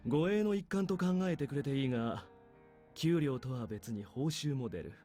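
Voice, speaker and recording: A man voicing a calm, calculating military major in a visual novel, speaking with dry, understated authority. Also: mid-range voice, quick pace, faint music behind, natural voice. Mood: businesslike, persuasive. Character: calm, calculating male military major giving covert orders.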